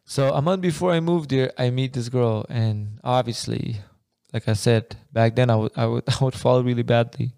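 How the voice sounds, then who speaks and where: A man's voice: medium pitch, normal speed, vocal fry, few pauses, a little mellow, no room sound, studio quality. A young man from Afghanistan, co-hosting a podcast in loose, rambling casual chat full of slang like bro and man. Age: young adult. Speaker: podcast co-host.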